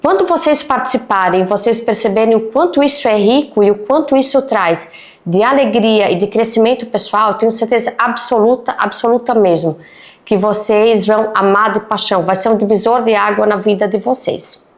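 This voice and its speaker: A woman's voice: medium pitch, moderate pace. A woman, a music teacher interviewed for a documentary, speaking earnestly and addressing parents directly.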